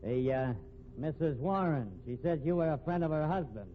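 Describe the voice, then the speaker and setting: A man acting as a brash, needling detective with a fairly high voice grills suspects in streetwise slang. It is 1950s radio mystery acting, heard through a narrow, tinny old recording with some hiss. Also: normal speed, lightly acted. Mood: sardonic, accusing.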